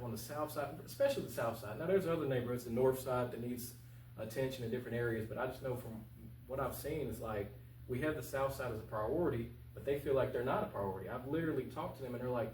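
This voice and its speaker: A man's voice: mid-range voice, quick pace, darkish tone. A man in his twenties talking casually and earnestly as a candidate being interviewed, colloquial and emphatic, repeating points for stress. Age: 20s. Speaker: interview guest, candidate for local office. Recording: roomy, distant mic with faint hum.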